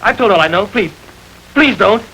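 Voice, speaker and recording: A man's voice: sits high, lively intonation, moderate pace, bright, forceful tone, slight background noise, heavily acted, rough recording. An actor playing a frightened young male suspect who stubbornly pleads under interrogation, in 1940s radio acting style. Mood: pleading. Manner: pleading, desperate.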